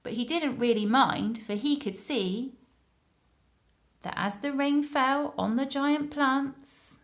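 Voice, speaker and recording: A woman's voice: sits high, takes it slowly. A woman reading a story and chatting to young viewers in simple, child-directed phrases. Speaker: playgroup story reader and presenter.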